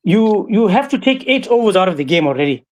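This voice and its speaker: A man joining as a guest pundit, giving measured cricket analysis and often adding right and you know. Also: high voice, big pitch swings.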